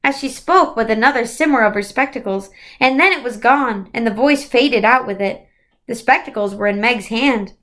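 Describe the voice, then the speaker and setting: A woman reading a children's novel aloud as expressive storybook narration. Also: fairly high pitch, normal speed.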